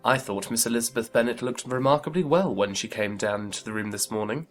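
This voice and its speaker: A man playing a kind, good-natured young gentleman in a period audiobook drama, speaking earnestly and politely. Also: medium pitch, quick pace, soft background music, natural voice.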